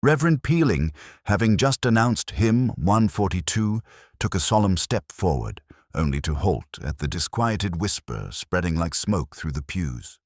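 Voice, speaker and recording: A man reading a scripted mystery story as narrator in a wry, measured, literary manner. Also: low voice, sing-song, dry recording, studio quality.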